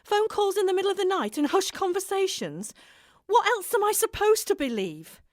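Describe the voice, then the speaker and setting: An actress playing a suspicious, hurt and pleading wife in an emotional radio drama. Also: female voice, very high voice, very animated, normal speed, dry recording, studio quality. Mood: hurt, accusing.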